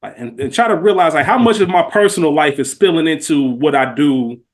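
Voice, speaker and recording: A man with a slightly high-pitched voice in a casual podcast conversation. He speaks earnestly and informally, with plenty of slang, pressing gently with personal questions in a heartfelt way. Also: animated, brisk. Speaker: podcast co-host.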